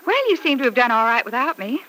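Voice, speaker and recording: An effusive mother in a vintage radio serial, cooing with barbed sweetness. The voice is bright and swings widely in pitch. The old recording carries faint noise. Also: sing-song, fast talker, exaggerated voice, poor audio. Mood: doting. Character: gushing young mother, sweetly catty and dramatic. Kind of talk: old-time radio soap opera acting.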